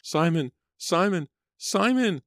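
A man voicing a frantic woman who shrieks and chants in a high, strained voice. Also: expressive, takes it slowly, a bit bright, no room sound, studio quality, heavily acted. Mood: shrill chanting.

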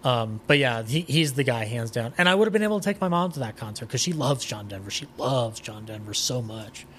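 A man's voice: medium pitch, lively intonation, fairly quick, fairly bright tone. A man chatting casually as a podcast guest, rambling with enthusiasm and swearing now and then, with some noise behind him. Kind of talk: casual interview chat.

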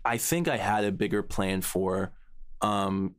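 Very deep-voiced man in thoughtful discussion. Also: takes it slowly. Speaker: podcast guest, series writer. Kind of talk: casual behind-the-scenes discussion.

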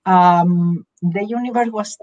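A woman's voice: fairly low pitch, slow, a little mellow. A middle-aged woman from Argentina with an Argentine Spanish accent, a guest speaking earnestly and unhurriedly in an interview over a video call. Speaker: guest author and teacher. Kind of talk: interview talk. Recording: dull lo-fi call audio.

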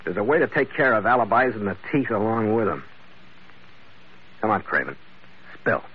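An actor playing a tough, world-weary investigator in an old-time radio noir, with clipped, dry, wisecracking delivery. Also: male voice, lowish voice, big pitch swings, normal speed, husky. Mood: menacing. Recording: muffled vintage radio recording, music behind.

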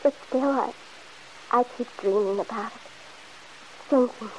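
A woman's voice: on the high side, measured pace, a little mellow, lightly acted, poor audio. An actress playing a fretful, guilt-torn young mother in an old-time radio soap opera, hesitant and pleading. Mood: anxious, wistful. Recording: hissy vintage radio broadcast recording.

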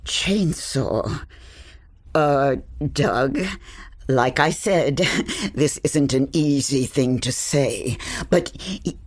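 A woman's voice: a bass voice, lively intonation, very slow, husky, exaggerated voice. A woman playing a curt, secretive and exasperated forest dweller in a fantasy audio comedy, commanding and impatient. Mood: urgent, serious.

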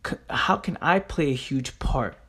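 A man's voice: medium pitch, animated, a little slow, pushed and bright, slightly rough. A young man giving an informal motivational monologue in a blunt, streetwise, emphatic way, punctuated with rhetorical questions and casual swearing. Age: young adult. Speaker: motivational speaker.

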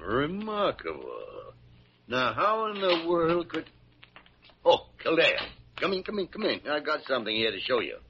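An older actor playing a crotchety, sardonic senior doctor in a vintage radio drama, with a raspy voice and a gruff, erudite, exasperated delivery. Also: male voice, a little high, huge pitch swings, a little slow, noisy background, heavily acted. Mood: brisk curiosity. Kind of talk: 1940s-50s radio drama acting. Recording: dull vintage radio recording.

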